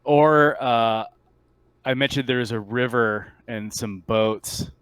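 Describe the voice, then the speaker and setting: A man narrating a tabletop roleplaying game as game master in a halting, conversational way. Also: medium pitch, fairly bright tone, smooth, no echo.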